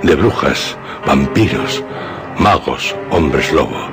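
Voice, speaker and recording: A man giving ominous scripted horror narration with dramatic pauses. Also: rumbling low, lively intonation, over music. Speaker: programme narrator.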